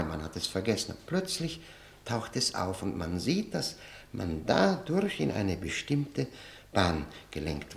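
An actor in a German radio play playing a bitter, ironic, educated convict who speaks deliberately and pauses for effect. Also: male voice, deep voice, gravelly, fairly bright tone, reverberant, heavily acted.

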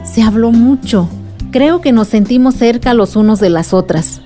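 An actress playing a gentle aunt telling a story to a child in a radio drama. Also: female voice, on the high side, moderate pace, soft background music, natural voice. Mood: gentle, reflective.

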